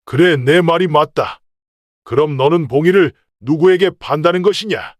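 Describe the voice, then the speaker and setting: Man acting shrewd merchant patriarch, folktale drama, slightly high voice, old-fashioned authority, very dry sound. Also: moderate pace, dry recording, close to an everyday voice. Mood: probing. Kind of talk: acted folktale dialogue.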